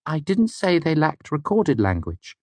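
A man's voice: a little high, huge pitch swings, measured pace, a little mellow, dry recording, voice pushed up. A man voicing a stubborn, pedantic female student in an audiobook, terse and irritable. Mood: irritated. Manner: muttering, terse. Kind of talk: audiobook character dialogue.

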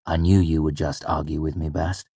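A man's voice: extremely low pitch, little pitch movement, normal speed, warm tone, slightly rough, dry recording, heavily acted. A man voicing a tired, stoic male innkeeper with a dry, dismissive manner, in a fantasy storybook.

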